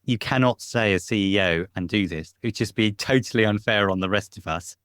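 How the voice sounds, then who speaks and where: A middle-aged British man telling stories as a podcast guest, chatty and relaxed, often laughing. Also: on the low side, fairly quick, clear, resonant tone, dry recording, clean studio sound. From Britain. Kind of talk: casual interview storytelling. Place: home, lives in Spain.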